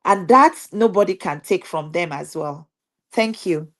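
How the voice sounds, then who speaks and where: A woman's voice: on the low side, unhurried, fairly bright tone. A middle-aged woman from Nigeria with a Nigerian accent gives a personal talk to an online audience, earnestly repeating phrases for emphasis. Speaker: guest speaker, digital business owner.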